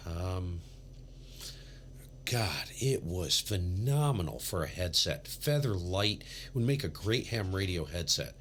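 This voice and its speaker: A man with a very low voice hosts a casual ham radio livestream. He rambles in a laid-back, keen hobbyist way into a studio mic. Faint noise sits behind him. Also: big pitch swings, slow, fairly bright tone. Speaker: livestream host, amateur radio operator. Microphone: big studio condenser.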